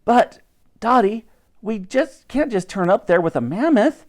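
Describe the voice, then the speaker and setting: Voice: mid-range voice, lively intonation, unhurried, a little mellow, pitched above the performer's own voice, lightly acted. A storybook narrator voicing a plucky, practical young girl who speaks with urgency. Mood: worried.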